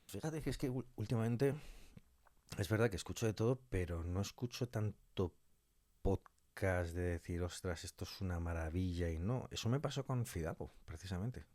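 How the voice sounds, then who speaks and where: A man's voice: low voice, moderate pace, a little mellow, dry recording. A man with a Spanish accent from Spain chatting casually and colloquially as a podcast guest, often repeating phrases for emphasis. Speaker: interview guest, audio fiction scriptwriter.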